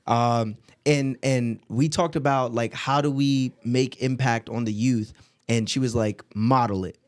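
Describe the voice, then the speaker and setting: A man. He talks in an easygoing, affirming way at a medium pitch, often agreeing with his guest. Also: steady tone, a little slow, clean tone. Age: 30s. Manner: agreeable, affirming, laid-back. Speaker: podcast host. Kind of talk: casual podcast conversation.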